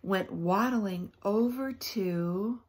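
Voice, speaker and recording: A woman teacher reads a children's book aloud expressively, pausing often for emphasis and to put questions to young listeners. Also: mid-range voice, darkish tone, slightly rough.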